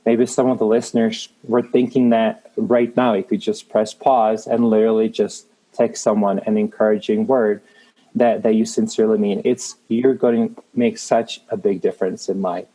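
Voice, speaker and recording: Earnest Czech-accented man, warm low voice. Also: little pitch movement, moderate pace, low-quality recording. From Czech Republic. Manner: earnest, encouraging, with frequent restarts. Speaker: guest, creative manager. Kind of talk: motivational interview talk.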